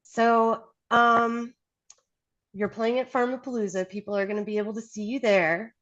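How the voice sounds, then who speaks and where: A woman's voice: a little high, steady tone, normal speed, a bit bright, dull audio. Upbeat woman hosting an interview. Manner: upbeat, enthusiastic. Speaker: interviewer, host.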